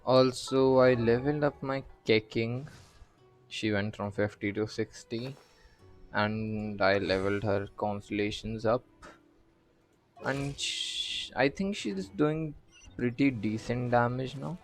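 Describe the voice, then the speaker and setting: A man chatting casually as he plays a video game, in a low-key, rambling way. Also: slow, soft background music. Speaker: gamer commenting on gameplay. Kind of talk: casual gameplay chat.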